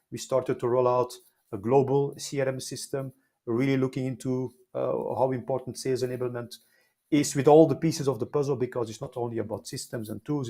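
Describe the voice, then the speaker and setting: A man's voice: medium pitch, darkish tone. Enthusiastic Flemish-accented man. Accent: Flemish Belgian. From Belgium, Flemish-speaking side. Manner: enthusiastic, with frequent fillers and repeated words. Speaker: guest, life science consultant. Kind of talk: conversational interview, career story.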